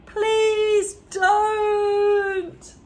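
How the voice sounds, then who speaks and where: A woman's voice: on the high side, wildly swooping pitch, a little fast, sharp tone, voice pushed up, exaggerated voice. A woman doing a fretful young boy. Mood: pleading. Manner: pleading, fretful.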